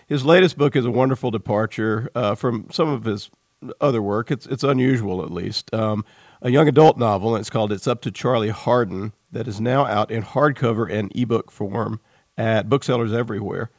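A man's voice: lowish voice, normal speed, no room sound, clean studio sound. A man hosting a podcast, reading introductions and then asking questions in a friendly, easy way. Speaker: podcast host. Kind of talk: scripted intro then casual interview.

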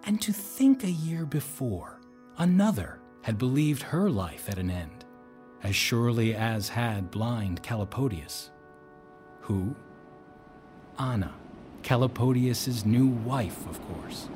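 An actor playing a reflective storyteller, narrating an audio drama in a measured, literary manner. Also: male voice, medium pitch, expressive, a little mellow, soft background music. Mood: reflective, wry. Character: reflective male storyteller, former subordinate of a captain.

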